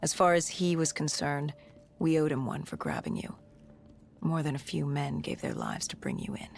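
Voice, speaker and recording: An actor playing a grim, battle-worn cybernetic soldier, recounting humanity's fall in brooding, measured game narration with a gravelly edge. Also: medium pitch, wildly swooping pitch, slight background noise. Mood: weary, somber. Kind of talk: scripted dramatic game narration.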